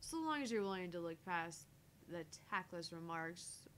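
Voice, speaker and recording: A woman's voice: lowish voice, animated, moderate pace, a little hiss or hum. A woman streamer reading a visual novel aloud in first-person narration with casual asides.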